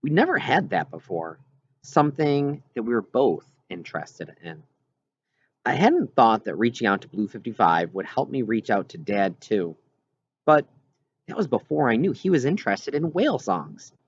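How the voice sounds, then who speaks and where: A man reading a children's novel aloud as scripted narration, with expressive storytelling delivery. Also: mid-range voice, moderate pace, slightly rough, highs cut off. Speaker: audiobook reader.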